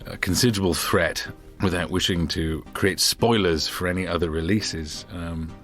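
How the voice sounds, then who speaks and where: A man with a very low voice talks casually about writing the episode. He hesitates a lot and keeps circling back to restart his sentences. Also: normal speed, fairly bright tone, soft background music. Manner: hesitant, restarts phrases often. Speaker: writer of the episode. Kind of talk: casual behind-the-scenes chat.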